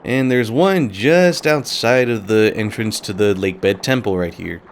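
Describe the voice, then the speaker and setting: A man's voice: lowish voice, measured pace, a little hiss or hum. A man hosting a let's play, talking casually and rambling while sick and a little nasal. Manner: casual, rambling, laughs often. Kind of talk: gameplay commentary.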